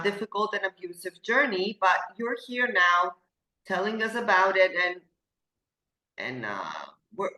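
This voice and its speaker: Woman host, halting interviewer, bright tense voice, echoey room. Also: medium pitch. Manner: halting, searching for words. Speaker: show host. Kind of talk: interview questions.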